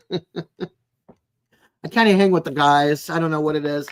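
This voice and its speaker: A woman in her sixties chatting casually as a live stream host, in a rambling, chatty way with frequent pauses. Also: sits low. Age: 60s. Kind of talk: casual chat with live viewers.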